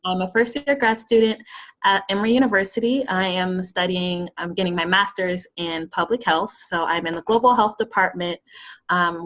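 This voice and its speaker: A woman's voice: mid-range voice, fairly bright tone. A woman in her twenties, a graduate student, speaking earnestly and correcting herself as a guest on a webinar video call. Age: 20s. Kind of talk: webinar talk.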